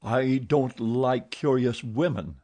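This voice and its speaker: A man's voice: mid-range voice, big pitch swings, unhurried, dark tone, husky. A man voicing an angry, jealous masked man who snarls commands and mockery in an audiobook. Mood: menacing. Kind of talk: audiobook character voice.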